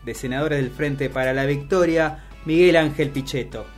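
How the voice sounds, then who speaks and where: A man's voice: on the high side, normal speed. A man with an Argentine Rioplatense accent co-hosting a radio show, talking casually and making announcements. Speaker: radio co-host. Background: music.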